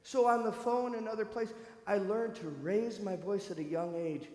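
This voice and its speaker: Earnest man with a high voice, echoey church. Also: fairly even intonation, moderate pace, warm tone. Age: over 50. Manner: earnest, conversational, addresses listeners directly. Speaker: speaker at a church service. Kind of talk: personal testimony to a congregation. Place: church sanctuary. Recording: echoey hall with long reverb.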